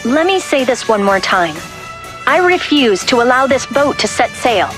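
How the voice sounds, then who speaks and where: A woman's voice: fairly high pitch, sing-song, moderate pace, music in the background. A woman playing a determined young soldier turned rebel in a game, serious and firm as she explains things. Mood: firm resolve. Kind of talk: dramatic game cutscene acting.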